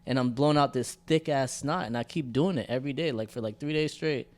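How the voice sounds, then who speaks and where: A man's voice: medium pitch, a little fast. A young man co-hosting a podcast, telling stories in loose, profane slang. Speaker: podcast co-host. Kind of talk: casual chat.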